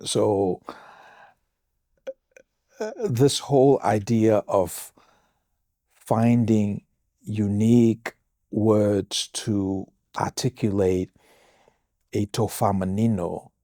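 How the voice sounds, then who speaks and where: A Samoan man, a pastor, answering listener questions on a podcast in a slow, measured way with thoughtful pauses and a Samoan accent. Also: fairly low pitch, fairly even intonation, warm tone, no room sound, studio quality. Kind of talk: reflective podcast talk.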